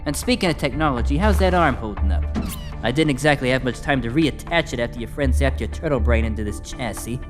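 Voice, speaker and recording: A man's voice: mid-range voice, animated, fast, music in the background, lightly acted. Grumpy, brusque male inventor man acting. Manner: brusque, grumbling, dry. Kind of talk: fan audio drama acting.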